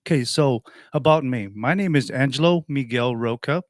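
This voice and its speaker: A man's voice: mid-range voice, moderate pace, no room sound, studio quality. A man in his forties from Portugal, a radio host telling his life story in a rambling way, restarting and correcting himself. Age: 40s. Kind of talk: personal storytelling monologue.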